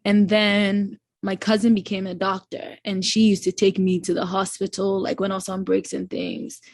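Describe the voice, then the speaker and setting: A woman in her twenties with a Ghanaian accent, talking as a guest in a relaxed, reflective way with frequent ums. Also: medium pitch, normal speed, no room sound. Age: 20s. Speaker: guest, incoming medical student. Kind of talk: casual interview chat.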